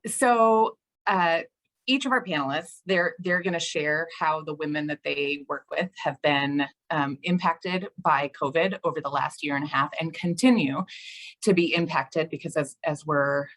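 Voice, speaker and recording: A woman hosts an online panel over a video call and introduces it with friendly framing. Her voice sits slightly low, and her phrasing is loose, with frequent ums and uhs. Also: measured pace, fairly bright tone. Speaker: program officer and webinar host. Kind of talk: webinar introduction.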